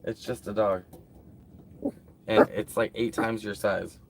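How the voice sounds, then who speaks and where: A man's voice: fairly low pitch, steady tone, slow pace, creaky, noisy background. A man hosting a casual livestream, rambling to his viewers with lots of fillers. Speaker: livestream host.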